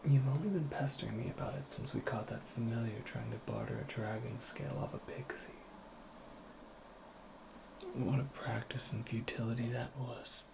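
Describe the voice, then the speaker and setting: A performer voicing an eccentric, absent-minded witch in a breathy, creaky ASMR roleplay, rambling with wry, cryptic politeness. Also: mid-range voice, background noise, close to an everyday voice. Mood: wry, hushed. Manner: rambling, whimsical, politely formal, self-correcting. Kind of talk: soft-spoken ASMR roleplay monologue.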